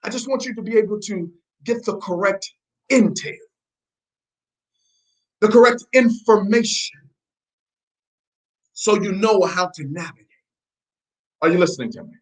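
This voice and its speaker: A man's voice: sits high, a little slow, pauses often, low-quality recording. A man with an accent shifting between American and Nigerian, giving an emphatic, repetitive motivational network marketing training. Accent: mix of American and Nigerian. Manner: emphatic, repetitive, preachy, quizzing listeners.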